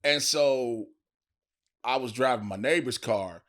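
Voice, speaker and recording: A man co-hosting a podcast, telling stories in animated, slangy, casual banter from a studio. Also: medium pitch, takes it slowly. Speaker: podcast co-host and stand-up comic.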